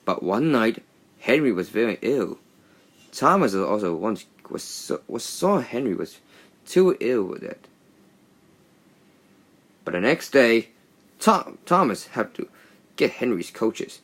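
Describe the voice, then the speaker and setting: Man haltingly reading a children's story, lively intonation. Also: mid-range voice. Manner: halting, stumbling reading with slips. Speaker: storybook reader. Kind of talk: read-along children's story narration.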